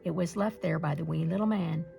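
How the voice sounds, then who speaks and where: A woman storyteller reading a picture-book folktale aloud in an expressive way. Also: lowish voice, a little fast, gravelly, soft background music.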